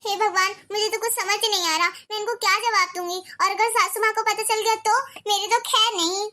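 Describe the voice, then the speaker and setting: A woman's voice: very high-pitched, steady tone, a little fast, sharp tone. A woman acting an anxious young wife who frets and pleads in a family drama. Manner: fretful and pleading.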